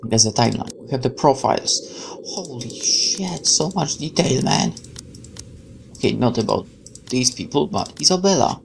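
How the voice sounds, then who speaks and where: Let's play host: man, 20s, excitable read-aloud, wide swings, medium pitch, slight background noise. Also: moderate pace, gravelly. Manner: excitable, chatty asides, stumbles when reading. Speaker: gaming video host. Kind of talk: game let's play, reading aloud and reacting.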